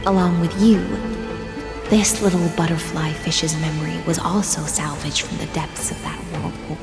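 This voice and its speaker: In a big echoing space with music, a regal lady of the sea holds forth. Her slightly low voice is poised and lofty, fondly protective and enigmatic. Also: fairly even intonation, normal speed. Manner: poised, lofty, formal, ornate. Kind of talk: video game voice acting. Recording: echoey reverberant hall, music behind.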